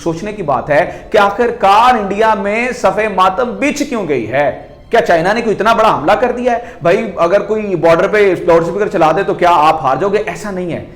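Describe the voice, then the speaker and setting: A man with a Pakistani accent speaks in a fairly high voice, recorded in a clearly echoey room. He delivers political commentary as a video host, talking conversationally to the viewer. His explanations are emphatic, built on rhetorical questions that he answers himself. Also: moderate pace, fairly bright tone. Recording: echoey, reverberant room sound.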